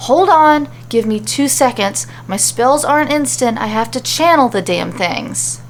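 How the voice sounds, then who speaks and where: A woman's voice: a little high, expressive, measured pace, a little hiss or hum, pitched above the performer's own voice. A woman voicing an exasperated, foul-mouthed female spellcaster in a fantasy storybook, irritated and snappy.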